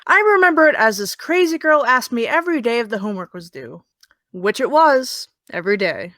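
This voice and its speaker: A woman's voice: a little high, big pitch swings, brisk, fairly bright tone, no echo. Young woman doing a snarky teen girl with zero filter, teasing, super dry sound. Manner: sarcastic, blunt. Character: blunt teasing high school girl, no filter. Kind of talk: audio drama.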